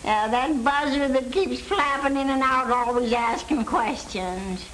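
High-pitched grumbling older landlady. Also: unhurried, a bit bright, slight background noise, rough recording. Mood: irritated. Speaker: film actress. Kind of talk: 1930s Hollywood film acting.

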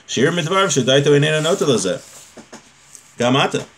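A man's voice: a little high, lively intonation, fairly quick, fairly bright tone. A man, giving an informal religious lesson in a conversational, explanatory way. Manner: conversational, often checks in with rhetorical questions. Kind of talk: informal lecture, reading a text and explaining it. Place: small home room with bookshelf.

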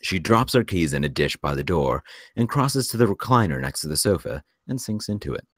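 A man's voice: deep voice, big pitch swings, a little fast, fairly bright tone, no room sound, studio quality. A man reading screenplay stage directions as scripted narration for a table read.

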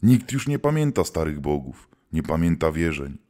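A man playing a world-weary, gruff hunter of demons who keeps the old gods, in a theatrical scripted audio drama. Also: very deep voice, very animated, normal speed, warm tone. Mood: grave, weary.

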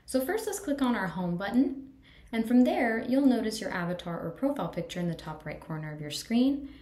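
A woman giving an upbeat, friendly voice-over walkthrough of software settings. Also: fairly high pitch, sing-song, a little fast, reverberant. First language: English. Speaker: product tutorial presenter. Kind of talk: screen-recorded software walkthrough.

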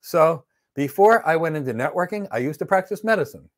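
A man's voice: fairly high pitch, expressive, fairly quick. A middle-aged man teaching networking on a live stream, answering viewer questions in a blunt, emphatic, matter-of-fact way. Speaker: networking instructor. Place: indoor studio with virtual backdrop.

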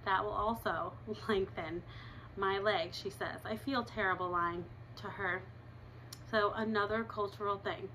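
A woman's voice: mid-range voice, fairly even intonation, unhurried, pushed and bright, slight background noise, poor audio. A woman in her thirties reading a chapter book aloud in her living room, breaking off for chatty asides to her listeners. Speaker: reader.

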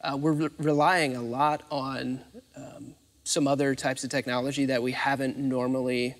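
Thirties guy getting interviewed on a stage, informal explaining, regular pitch, echoey hall. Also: level tone, normal speed. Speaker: interviewee, theatre facilities manager. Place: large dark theatre stage, indoors.

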